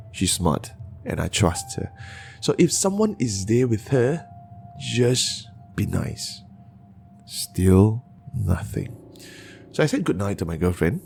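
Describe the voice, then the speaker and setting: A man narrating bedtime horror stories in a deliberate, dramatic way, with pauses. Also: fairly low pitch, creaky, darkish tone.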